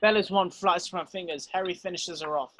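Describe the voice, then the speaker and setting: A man's voice: high voice, fairly even intonation, brisk, a bit bright, slight background noise. A man reading stage directions as narrator in a scripted style.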